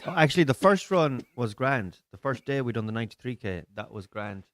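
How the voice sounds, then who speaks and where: A man's voice: medium pitch, animated, fairly quick, fairly bright tone, no room sound. An Irish man hosting a live stream from a small studio, chatting and telling stories with local slang. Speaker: comedian and podcast host. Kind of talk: casual chat on a live stream.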